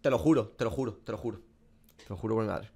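A man's voice: fairly low pitch, fast talker, darkish tone, breathy edge. Slangy young man from Spain, thinking aloud. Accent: Spanish from Spain. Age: 20s. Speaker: gaming streamer. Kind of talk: casual reaction commentary over a quiz. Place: small room at a desk, indoors.

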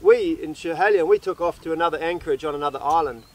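A young man with a somewhat high voice talks casually, hosting an interview outdoors on a beach. His manner is easygoing and chatty. He often prompts with short questions. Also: fast talker. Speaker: sailing vlog host.

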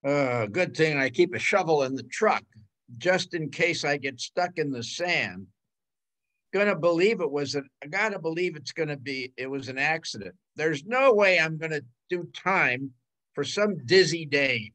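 A man's voice: on the high side, lively intonation, normal speed, a bit bright. An older man playing a shady, tough-talking cheating husband in an old-time radio crime drama, recorded on a video call. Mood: grim, scheming. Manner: slangy tough-guy talk. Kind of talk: 1940s radio drama acting. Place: home room, video call. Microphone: computer mic on a video call.

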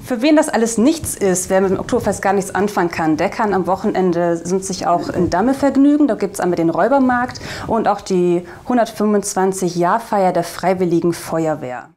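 A woman's voice: medium pitch, animated, normal speed, few pauses, darkish tone, slight background noise. A young woman, presenting in a light, chatty way. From Bremen, Germany. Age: young adult. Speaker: video host. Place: indoor green-screen studio.

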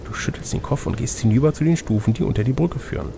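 Male gamebook narrator, medium pitch, creaky, faint noise behind the voice. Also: expressive, fast. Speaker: reader and game master. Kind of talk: reading a gamebook aloud with casual asides.

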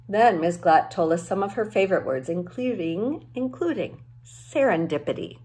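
A middle-aged woman reading a children's novel aloud as an expressive storyteller, recorded at home on a handheld-distance device. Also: mid-range voice. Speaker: teacher reading a book aloud. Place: small home room with bookshelves.

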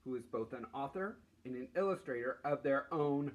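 A man's voice: medium pitch, normal speed. A man in his forties, a teacher reading aloud to children in an encouraging, playful way. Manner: animated, encouraging. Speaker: primary school teacher. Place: small classroom, indoors.

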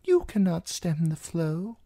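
A narrator doing a soft-spoken ancient maester. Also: male voice, on the high side, big pitch swings, gravelly, no echo, studio quality, voice pushed up, exaggerated voice. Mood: gentle. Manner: soft, slow, hushed speech. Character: ancient frail blind male maester, gentle and wise. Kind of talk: audiobook character voice.

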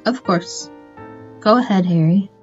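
A woman's voice: medium pitch, a little slow, natural voice. A woman acting in a radio play as an earnest, sympathetic young interviewer. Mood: earnest, reassuring. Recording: slightly dull recording, music behind.

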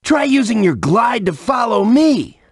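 An actor playing an eager, helpful small creature guide in a video game, with bouncy, drawn-out delivery. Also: male voice, very high-pitched, very animated, breathy edge, no echo, clean studio sound, heavily acted. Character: eager, helpful small creature guiding the young dragon hero.

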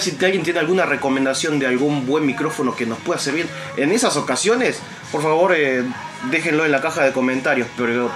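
Young male Argentine host, slightly high voice, chatting casually around a table, loud music underneath. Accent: Argentine Spanish. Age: young adult. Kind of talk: casual group chat, discussing viewer survey. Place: small tatami inn room, indoors. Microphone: camera across the table.

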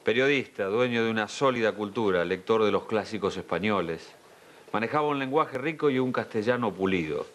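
An Argentine man presents in a television studio. His voice is on the low side, and he delivers a spoken tribute to camera. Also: fairly quick, a bit bright. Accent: Argentine Spanish.

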